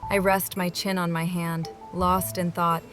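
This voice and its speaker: A woman's voice: lowish voice, flat delivery, a little slow, a bit bright, faint music behind. A woman reading a scripted first-person game story, moving between narration and dialogue. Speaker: voice-over reader of a game story.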